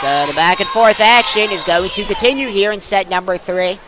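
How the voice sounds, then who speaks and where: A young man calling live volleyball play-by-play, excitable and projecting over the action. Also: high-pitched, moderate pace. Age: young adult. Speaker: play-by-play sports announcer. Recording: crowd and gym noise behind.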